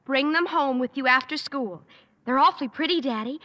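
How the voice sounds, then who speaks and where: An actress playing a sweet, coaxing teenage daughter in a 1950s radio sitcom. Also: female voice, sits high, a little fast, sharp tone, dull audio. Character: sweet chirpy teenage girl, principal's daughter.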